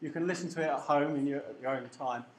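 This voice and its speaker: Guy lecturing, relaxed, bit of noise behind. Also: on the high side, fast talker, roomy sound. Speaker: university lecturer. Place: lecture hall.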